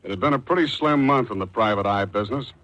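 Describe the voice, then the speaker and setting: An actor playing a wry, clipped, world-weary private detective in the style of 1940s radio drama. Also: male voice, on the low side, fast talker, a bit bright, dull audio, close to an everyday voice. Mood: wry narrating.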